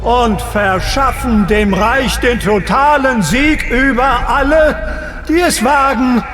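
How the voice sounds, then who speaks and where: An actor playing a ruthless Nazi commander with a German accent, giving threatening and declamatory speeches. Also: male voice, very high voice, slow, over music. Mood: fanatical.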